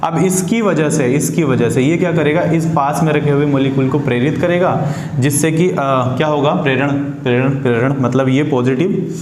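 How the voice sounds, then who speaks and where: A man's voice: fairly high pitch, normal speed, a little mellow. A man teaching chemistry informally, repeating key phrases, asking and answering his own questions and mixing in English technical terms. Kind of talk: informal classroom-style lesson for students. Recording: roomy echo with faint background noise.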